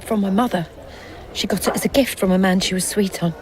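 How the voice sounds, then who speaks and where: A woman's voice: mid-range voice, quick pace, slight background noise, close to an everyday voice, rough recording. An actress playing a polite, slightly guarded young serving woman, deferential in an audio drama. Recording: faint outdoor urban ambience.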